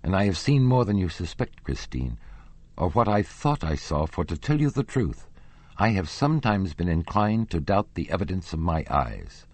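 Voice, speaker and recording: Guy reading as a lovesick young noble in an audiobook, pleading and earnest, raspy, dry sound. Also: low voice, very animated, moderate pace, a little mellow, dry recording, exaggerated voice. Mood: earnest, alarmed. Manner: earnest, formal, impassioned.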